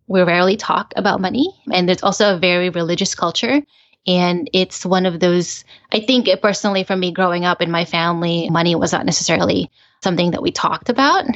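A woman in her thirties from the Philippines, speaking as a podcast guest. Her voice sits low, and she explains things earnestly, sometimes restarting her sentences. The audio is slightly muffled and lo-fi. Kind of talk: casual interview chat.

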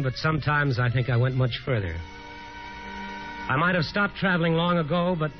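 A man's voice: fairly high pitch, natural voice. An actor playing a wistful, haunted young man who reflects on his memories in melancholy 1950s radio drama style. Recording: muffled old radio recording, music behind.

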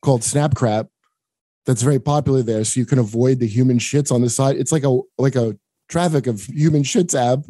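A man's voice: mid-range voice, brisk, mellow, no echo. A man hosting a comedy podcast, chatting and joking casually with his guest.

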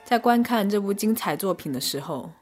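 A woman narrating a scripted first-person arts review in measured, descriptive short phrases. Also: medium pitch, expressive, a little mellow, soft background music. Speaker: podcast host and reviewer.

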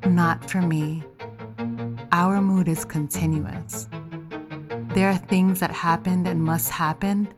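A woman plays a manipulative seductress in an audio drama. Her voice is on the low side, soft and coaxing as she probes her lover. Light music runs beneath her. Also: natural voice. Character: Delilah, seductive, probing, manipulative adult female lover. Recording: music under the voice.

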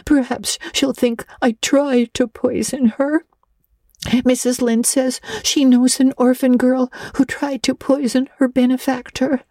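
A woman doing a dramatic young storybook girl, her voice pitched well above a grown woman's and tumbling out in eager, breathless rushes. She stammers when upset and swoons over every small joy. The sound is close and dry. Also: flat delivery, dark tone, no echo. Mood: tearful. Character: excitable talkative orphan girl, dramatic and eager. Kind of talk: audiobook character voice.

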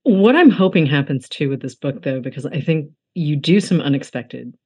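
A woman from the United States hosting a casual book interview, talking informally with animated, self-deprecating asides. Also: low voice, moderate pace, slightly rough. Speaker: interviewer, host.

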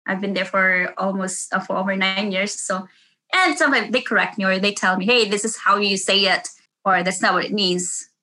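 A woman's voice: mid-range voice, moderate pace, bright, forceful tone. A woman nurse from the Philippines with a Filipino accent, speaking earnestly in a casual interview, often restarting her sentences. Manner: earnest, with frequent restarts and laughs.

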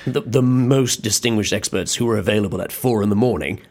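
A man's voice: lowish voice, a little fast, natural voice. An actor playing a weary, sceptical, grumbling modern man in an audio drama. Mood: sceptical, sarcastic. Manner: sarcastic, muttering.

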